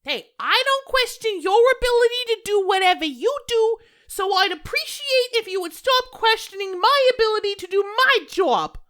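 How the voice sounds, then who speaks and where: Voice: extremely high pitch, lively intonation, moderate pace, few pauses, bright, forceful tone, full character voice. A performer playing a fussy, childlike office underling in a comic audio drama, flustered and given to exclaiming. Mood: flustered, defensive.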